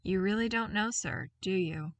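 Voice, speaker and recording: A woman's voice: mid-range voice, moderate pace, no echo, distant mic, natural voice. A woman voicing a dutiful, matter-of-fact male soldier in a story, formal and earnest. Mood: matter-of-fact, fond.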